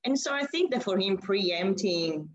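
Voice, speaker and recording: A woman's voice: medium pitch, animated, a little slow, muffled recording. A young woman with an Italian accent, a recruitment consultant answering interview questions in a chatty way, often restarting her sentences. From Italy. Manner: chatty, with frequent fillers and restarts.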